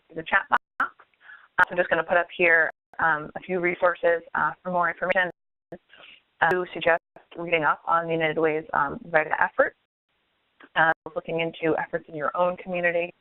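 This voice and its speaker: A woman's voice: on the low side, no echo. A woman moderating a webinar informally, polite and tentative in her phrasing, heard over a tinny phone line. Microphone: phone or conference line.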